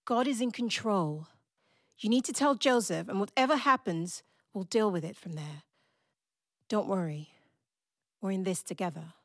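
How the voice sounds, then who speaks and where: A woman's voice: medium pitch, moderate pace, long pauses, no room sound, studio quality, lightly acted. A woman acting as a stern, dramatic, devout middle-aged mother in an audio drama, with emphatic delivery. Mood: tender, reassuring. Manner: exclaiming, scolding, emphatic.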